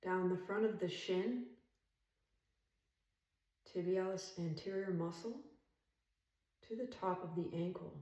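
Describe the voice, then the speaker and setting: A woman leading a guided meditation as an instructor, speaking calmly and soothingly in measured phrases with long pauses. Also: on the low side, dull audio. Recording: roomy echo, distant from mic.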